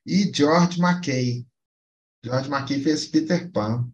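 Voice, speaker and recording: A man in relaxed podcast chat, hosting a quiz segment. He talks slowly and leisurely, in a middling voice, joking and stalling playfully while he reads cast names piece by piece. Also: mid-range voice, dull audio. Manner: playful, teasing, reads film credits haltingly. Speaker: quiz host on a film podcast.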